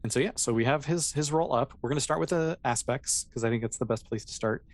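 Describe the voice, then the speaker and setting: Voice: mid-range voice, quick pace, no echo. An American game master from Florida chatting casually and informally while hosting and explaining a tabletop game. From Florida, United States.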